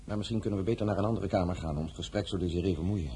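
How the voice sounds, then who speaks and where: A man's voice: low voice, very fast, mellow, a little hiss or hum, natural voice. A male actor in a radio drama playing a courteous, scheming political brother-in-law with a polite, persuasive, formal manner. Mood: courteous, ingratiating.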